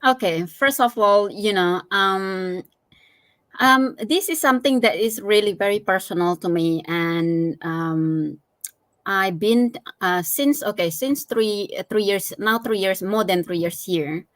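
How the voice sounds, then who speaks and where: A woman's voice: mid-range voice, measured pace, fairly bright tone, no room sound. A middle-aged woman with an Indonesian accent, giving a casual personal account as a guest, hesitantly and with many small filler phrases. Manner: hesitant, frequent "you know". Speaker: interview guest.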